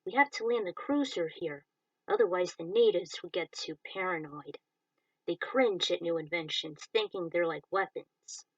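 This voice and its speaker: A woman's voice: lowish voice, normal speed, dry recording, distant mic, dull audio. Lady reading as a no-nonsense female space pilot, stern and explainy, story dialogue, dry sound. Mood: firm, instructive. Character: firm, knowing woman pilot briefing her cadets.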